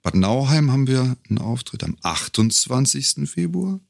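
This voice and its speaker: A middle-aged man, telling stories casually as a podcast guest and pausing to pick his words. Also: mid-range voice, sing-song, gravelly, no room sound, studio quality. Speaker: podcast guest, singer and professional voice-over speaker. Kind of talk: casual conversational storytelling.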